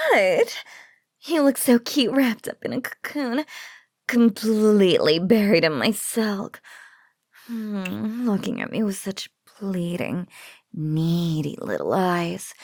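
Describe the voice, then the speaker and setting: A woman playing a teasing, possessive female spider monster in an intimate audio roleplay, with a breathy, cooing drawl that often slips into whispers. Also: mid-range voice, darkish tone, no echo, clean studio sound. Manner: teasing, drawling coo with giggles.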